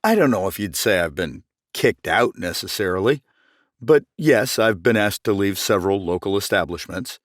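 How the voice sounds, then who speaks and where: Man acting as defensive accused businessman, cartoon, self-important, emphatic, lively mid-pitched voice, dry sound. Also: normal speed, no echo. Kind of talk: animated comedy dialogue.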